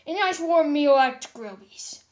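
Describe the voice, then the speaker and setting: A woman's voice: sits high, animated, unhurried, a little mellow. A woman voicing a joking, affectionate male skeleton in a playful storybook read-aloud. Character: joking, affectionate male skeleton, excitable among old friends.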